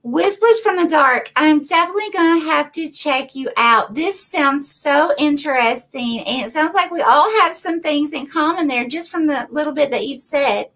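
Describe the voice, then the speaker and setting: A woman's voice: sits high, normal speed, few pauses, a bit bright. A woman hosting a live paranormal interview stream, reading viewer comments aloud and reacting to them enthusiastically. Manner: enthusiastic, effusive. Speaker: host of a paranormal interview show.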